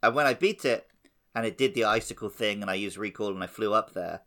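A man's voice: fairly low pitch, fast, fairly bright tone. A man recounting his video game experiences casually and with animation as a podcast host.

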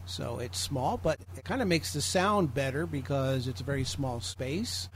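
A man's voice: mid-range voice, lively intonation, normal speed, a little hiss or hum. A man from Illinois with an American accent, chatting casually and explaining things from a small home office. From Illinois, United States. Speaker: guest on a group call.

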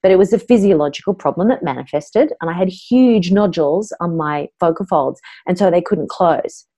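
A woman's voice: fairly low pitch, normal speed, warm tone, husky. A middle-aged woman with an Australian accent, a voice coach telling her own story openly and earnestly in an interview.

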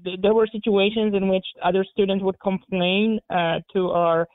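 A man from Poland whose first language is Polish, talking as an interview guest in a halting way, with many repeated words and restarts. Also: sits high, little pitch movement, dry recording. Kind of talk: casual interview talk. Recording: phone-like narrow band.

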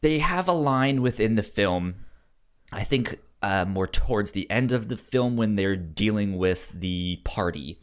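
A man hosting a podcast, chatting casually about a film in a halting, stammering way that often repeats words. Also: fairly low pitch, animated, clear, resonant tone. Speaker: podcast host.

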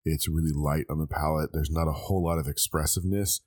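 Congested man, extremely low pitch, dry close sound, casual taster who ums a lot. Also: steady tone, quick pace, a little mellow, no echo. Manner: thinking aloud with many ums. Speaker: host tasting liquor blind. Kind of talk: casual tasting chat.

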